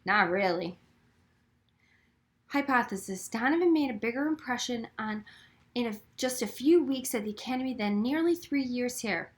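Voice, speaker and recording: A woman reading a children's novel aloud as expressive, scripted first-person narration. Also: a little high, fairly quick. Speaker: audiobook reader.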